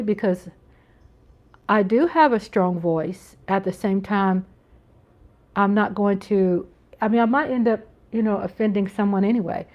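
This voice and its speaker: A woman's voice: mid-range voice, muffled recording. Rambling, earnest American woman host. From United States. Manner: rambling, earnest, with frequent restarts. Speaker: channel host. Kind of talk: casual live-stream chat. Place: home.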